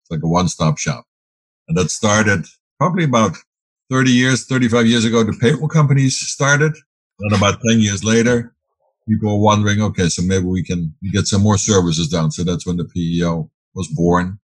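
Low husky Dutch-born man. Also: normal speed, no room sound, poor audio. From Netherlands. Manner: rambling with fillers and restarts. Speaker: guest, business owner. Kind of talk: casual interview answers.

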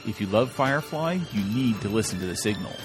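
A man's voice: lowish voice, expressive, fast. A man reading a scripted podcast promo as an announcer. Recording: music bed behind voice. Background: music.

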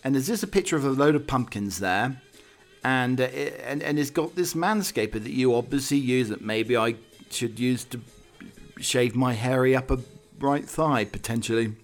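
A man's voice: mid-range voice, moderate pace, soft background music. A man hosting a comic talk on the week's news, wry and digressive, with hesitant asides. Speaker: podcast host.

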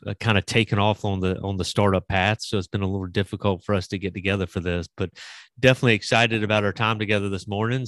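A man's voice: lowish voice, a bit bright, no room sound. A man hosting a podcast interview, chatty and rambling with many fillers. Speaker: podcast host.

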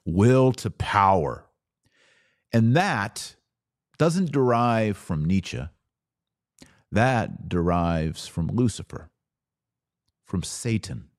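A man with a low voice gives a religious lecture as a podcast host. He speaks at a very unhurried pace, emphatic and didactic, leaving dramatic pauses between short phrases. The sound is very dry, with no room on it. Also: lively intonation, no room sound. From Texas.

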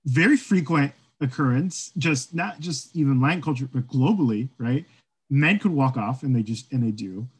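A man's voice: medium pitch, lively intonation, normal speed, breathy edge. A man co-hosting a podcast, talking casually about folklore and history in an informal way, often checking in with "right?". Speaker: podcast co-host.

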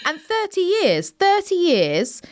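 A woman's voice: extremely high pitch, huge pitch swings, takes it slowly. A woman hosting a podcast interview, upbeat and enthusiastic. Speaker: podcast host. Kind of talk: casual interview chat. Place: London, remote call.

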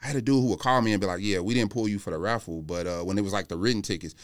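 Man, fairly low pitch, rapid delivery, casual podcast panelist, slangy rambling storyteller, close dry sound. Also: no echo. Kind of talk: casual anecdotal podcast chat. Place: podcast studio.

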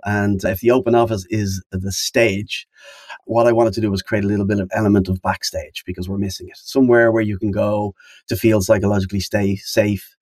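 A man's voice: on the low side, darkish tone, no room sound. A man being interviewed on a podcast as a company founder, speaking in a thoughtful, wordy way and repeating words for emphasis. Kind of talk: conversational interview answers.

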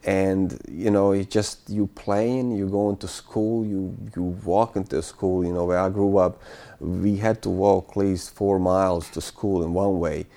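Bosnian-born male guest, deep and mellow, halting and reflective delivery. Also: steady tone. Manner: halting, many fillers and restarts. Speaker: interview guest. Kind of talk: casual spoken recollection.

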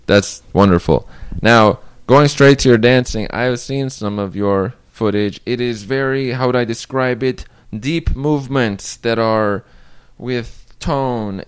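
A man's voice: mid-range voice, clean tone, no echo. A man hosting a podcast interview, asking questions in a casual, joking, rambling way. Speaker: podcast host.

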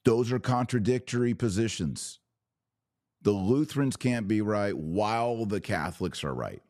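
A man hosting a religious podcast, preaching in an emphatic, declarative way with deliberate pauses and repetition. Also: lowish voice, expressive, a little mellow, dry recording, clean studio sound. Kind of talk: preaching monologue.

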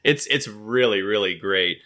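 Bright-voiced enthusiastic man. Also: fairly low pitch, a little slow. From Michigan. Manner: enthusiastic fanboy, laughs often. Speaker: podcast co-host. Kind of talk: casual podcast chat.

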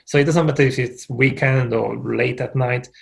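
A man from Hungary talks through his story as a podcast guest. His voice has a gravelly, creaky edge at a medium pitch, and he keeps things relaxed and chatty. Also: normal speed. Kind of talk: casual interview answers.

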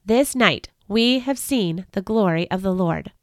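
A gentle, tired young man, as a woman reading a storybook puts him on, earnest and soft-spoken. He is heard close and dry, with no room around him. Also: medium pitch, expressive, unhurried, no room sound.

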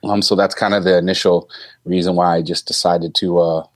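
Deep voiced teacher guy in his 30s, lots of ums, dry close sound. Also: flat delivery, a little fast, no room sound. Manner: thoughtful, hesitant, many ums and restarts. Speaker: teacher guest. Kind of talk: casual interview answers.

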